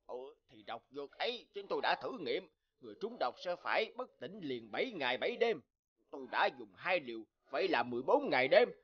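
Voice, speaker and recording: A man playing a shifty, nervous court sorcerer in a cartoon fairy tale, talking in a furtive, hushed way. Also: on the high side, sing-song, a little fast, lo-fi sound. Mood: nervous, plotting. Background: background music.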